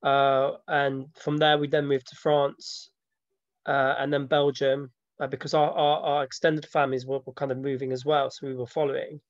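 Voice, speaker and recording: A man's voice: mid-range voice, little pitch movement, normal speed, dry recording. Dull-sounding man in his thirties, reflective. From born in Slovakia, grew up from age seven in London. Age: 30s. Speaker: interviewee, film maker and photographer. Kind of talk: informal personal interview. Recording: dull lo-fi audio, dry.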